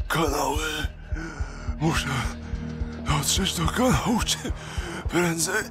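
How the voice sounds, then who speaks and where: An actor playing a wounded, desperate hunted thief in a fantasy audio drama, speaking in strained, halting mutters and curses. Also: male voice, on the high side. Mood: pained, determined. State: in pain, labored, injured. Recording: loud music behind the voice.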